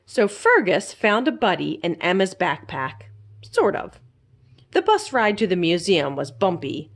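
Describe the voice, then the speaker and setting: A woman reading a children's story aloud as a library storyteller, in an expressive, simple storytelling manner. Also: on the low side, measured pace.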